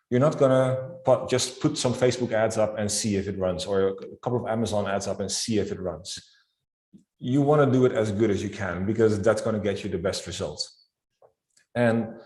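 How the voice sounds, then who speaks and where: Dutch-accented man in a roomy space. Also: on the low side. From Netherlands. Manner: structured, calm, explanatory. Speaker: guest, e-commerce accounting expert. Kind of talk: interview answers.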